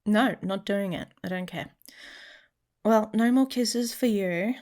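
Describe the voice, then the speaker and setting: A woman playing a guarded, teasing young female werewolf in an intimate ASMR roleplay, with a wry, casual manner and a breathy, husky voice that often drops to a whisper. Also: medium pitch, slow pace, a little mellow, lightly acted. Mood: teasing, prickly.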